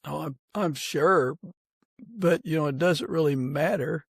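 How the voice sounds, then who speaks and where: Slightly high male host, really unhurried and halting, dry close sound, casual interview chat. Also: creaky, breathy edge, dry recording. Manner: halting, agreeable, affirming. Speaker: podcast host.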